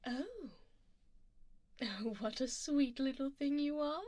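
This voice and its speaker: A woman's voice: high voice, no echo, exaggerated voice. Woman voicing a haughty female vampire noble, swooping intonation, imperious, teasing, formal, close dry ASMR sound. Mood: condescending, amused. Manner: imperious, formal and refined, often dropping to a whisper. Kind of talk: ASMR roleplay, intimate scripted monologue. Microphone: close binaural microphone.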